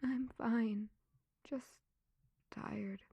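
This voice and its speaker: An actress playing a grieving, self-blaming young servant woman in an emotive, halting audio drama. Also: a little high, steady tone, creaky, husky, no room sound, muffled recording, exaggerated voice. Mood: withdrawn, tired. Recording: music under the voice. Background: music.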